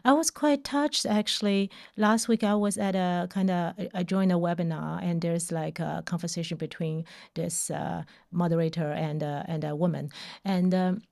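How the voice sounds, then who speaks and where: A woman hosting an interview podcast in a conversational way, haltingly searching for words and often checking in with tags. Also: fairly low pitch, fairly even intonation, gravelly, darkish tone. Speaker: podcast host.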